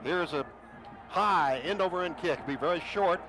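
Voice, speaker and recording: A middle-aged man calling a football game for radio, quick play-by-play that jumps to shouts on big plays. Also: a little high. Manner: rapid excited calls rising to shouts on big plays. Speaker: play-by-play announcer. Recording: noisy stadium radio broadcast.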